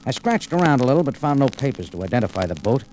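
An actor playing a hardboiled American adventurer, narrating and talking tersely and wryly in 1940s radio noir style. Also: male voice, medium pitch, very animated, quick pace, a little mellow, a little hiss or hum, natural voice, rough recording. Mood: wry narration. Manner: terse, world-weary, wry.

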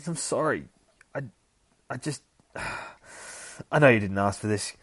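A man voicing a heartbroken middle-aged father from a comic, speaking in halting, emotional phrases. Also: medium pitch, fairly bright tone, dry recording. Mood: grief-stricken.

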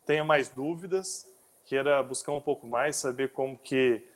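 A man hosting a casual interview, talking in a halting way with frequent restarts. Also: mid-range voice. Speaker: interview host.

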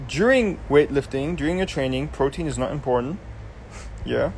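A man's voice: mid-range voice, lively intonation, moderate pace, a little hiss or hum, rough recording. A young man from Germany, hosting a casual live Q&A and explaining things loosely with many ums and restarts. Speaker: host. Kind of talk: casual live Q&A, answering viewer questions.

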